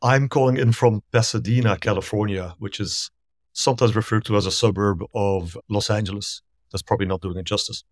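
A middle-aged man from Belgium, a startup founder explaining his business in a measured, thorough way during a remote podcast interview. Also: lowish voice, fairly even intonation, no room sound, studio quality. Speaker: guest, startup founder and CEO.

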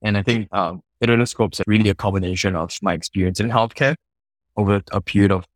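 A man from Singapore, a founder being interviewed, telling his story in a measured, reflective way. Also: lowish voice, fairly even intonation, no room sound. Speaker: founder guest.

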